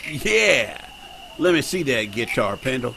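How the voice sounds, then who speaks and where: A slow, lively-pitched male bully over clear background noise. He is cruel and mocking, a villain from a radio Western. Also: full character voice. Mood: mocking, menacing. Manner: drawn-out mocking. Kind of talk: old-time Western radio acting.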